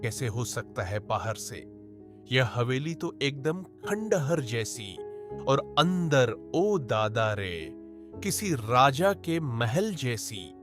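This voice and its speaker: A man's voice: mid-range voice, sing-song, takes it slowly, over music. A man voicing a poor, hardworking young village man who speaks in rustic village speech and exclaims often, in a cartoon story. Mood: astonished.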